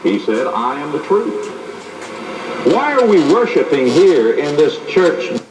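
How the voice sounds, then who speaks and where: A man's voice: a little high, big pitch swings, takes it slowly. A man preaching an emphatic, rhetorical sermon, heard through an old radio. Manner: emphatic, rhetorical, dramatic swings in loudness. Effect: played through an old radio. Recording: noisy echoey radio broadcast, heard from afar.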